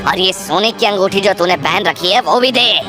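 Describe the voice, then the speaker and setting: Woman playing a bossy robber thug guy in a cartoon, low demanding threats, music behind. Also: moderate pace, natural voice. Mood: menacing. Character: menacing male village robber, bossy thug. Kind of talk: Hindi cartoon acting.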